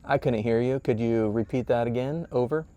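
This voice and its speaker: A man's voice: medium pitch, moderate pace, dark tone, lo-fi sound, slight background noise. A man, sounding middle-aged, gives a casual product demonstration in a plain-spoken, explanatory way. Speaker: product reviewer.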